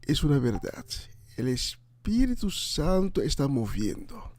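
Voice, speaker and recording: Animated, higher-voiced man preaching on air. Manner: halting and emphatic, with repetition. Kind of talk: informal on-air preaching and greetings.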